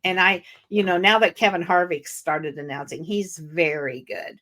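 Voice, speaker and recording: An older woman hosts an interview podcast in a rather low voice. She talks in a relaxed, rambling way, with plenty of fillers between her thoughts. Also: normal speed. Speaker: podcast host. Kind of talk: casual interview chat.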